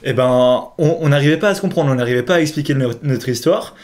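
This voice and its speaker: A man's voice: mid-range voice, quick pace, darkish tone. A young man co-hosting a podcast, casually telling an anecdote to a friend in slangy, laughing bursts. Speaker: podcast co-host.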